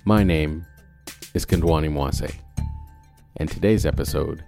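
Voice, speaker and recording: Deep-voiced male host, inquisitive interviewer, light music underneath. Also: measured pace, creaky. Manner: curious, prompting questions. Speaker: podcast host. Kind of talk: casual interview and scripted intro.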